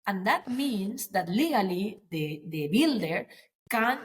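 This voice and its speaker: A woman's voice: medium pitch, very animated, takes it slowly. Woman lawyer explaining. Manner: earnest, explanatory, non-native phrasing with restarts. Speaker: property lawyer, guest. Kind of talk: podcast interview, explaining.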